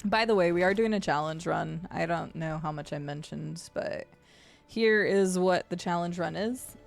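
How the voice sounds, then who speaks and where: A young adult woman with a gravelly voice and deep vocal fry, chatting casually while streaming a game. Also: moderate pace. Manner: chatty, swears and laughs at the game. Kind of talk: casual live-stream chat while gaming. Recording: faint game music behind.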